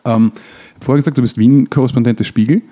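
A man hosting a casual podcast interview, phrasing his questions in a hesitant, unhurried way. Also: medium pitch, steady tone, dark tone. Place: living room in a flat.

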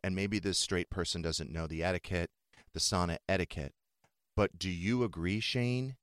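A man's voice: low-pitched, normal speed, a bit bright, no echo. A man hosting a casual, gossipy studio talk show, asking frank and crude questions. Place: radio studio. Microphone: close studio microphone.

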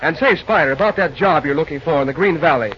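An actor playing a confident, mysterious stranger in an old-time radio drama. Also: male voice, on the high side, quick pace, a crowd in the background, close to an everyday voice. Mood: assured. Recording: noisy, dull early radio recording.

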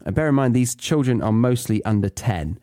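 A man's voice: medium pitch, expressive, a little fast, mellow, dry recording, studio quality. A British man hosting a podcast, chatting casually and explaining things to listeners. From Britain. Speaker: podcast host teaching English learners. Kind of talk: casual solo podcast talk.

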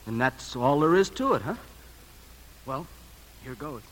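A man's voice: medium pitch, lively intonation, measured pace, fairly bright tone, slight background noise, poor audio. An actor playing a polite, eager new bank teller, deferential to his boss, in a 1950s radio drama. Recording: 1950s radio broadcast recording.

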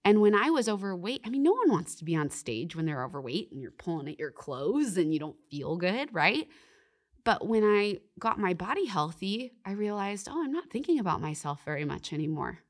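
A woman's voice: medium pitch, big pitch swings, a little fast. A young woman, a podcast guest talking earnestly and reflectively about faith, often saying "like" and "you know". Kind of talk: faith-based podcast conversation.

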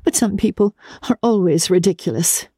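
Woman performing an imperious lady, pitched high and husky. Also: moderate pace, mellow, no room sound, studio quality, close to an everyday voice. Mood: indignant, scornful. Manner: theatrical, exclamatory, commanding. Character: imperious, passionate aristocratic lady in a temper.

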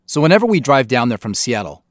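A man's voice: medium pitch, sing-song, quick pace, no room sound. An American man giving a scripted director's commentary on an audio drama as its creator.

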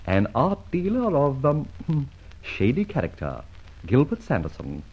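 An actor playing a suave, amused gentleman thief in an old radio adventure serial, with theatrical phrasing and a husky voice. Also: mid-range voice, wildly swooping pitch, unhurried, lo-fi sound, exaggerated voice. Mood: teasing, gleeful.

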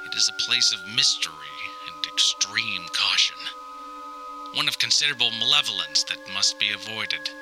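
A man performs a theatrical, self-serious grown son keeping a survival diary while trapped indoors. The voice is lowish and very bright, with a forceful edge. He speaks in grand, formal phrases like a stranded explorer in an audio drama, with music behind him. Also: poor audio. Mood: grave, uneasy. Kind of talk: scripted audio diary monologue, mock-survivalist narration.

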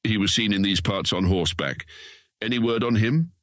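A man's voice: fairly low pitch, moderate pace, a bit bright, no echo, clean studio sound, lightly acted. A man playing a rotund, old-fashioned guildsman in a fantasy audiobook drama, hearty and proper in manner. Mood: concerned. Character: rotund, jovial, old-fashioned male guildsman and wagon trader.

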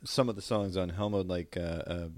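A man with a deep voice chats informally as a podcast co-host. He speaks in enthusiastic slang, and the recording is very dry, without room sound. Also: fairly even intonation, normal speed, darkish tone, no echo. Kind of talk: casual chat about music.

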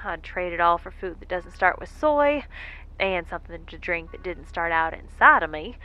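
A woman's voice: lowish voice, animated, moderate pace, pushed and bright, highs cut off, soft background music. A woman playing a stranded, injured space explorer in a sci-fi audio drama, with a wry, folksy way of muttering asides. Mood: wry, weary.

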